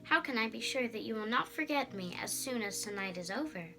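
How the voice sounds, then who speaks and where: A woman's voice: fairly high pitch, lively intonation, brisk, music in the background. A young female reader voicing an earnest, lovestruck young noble girl in a storybook, expressively. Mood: doubtful.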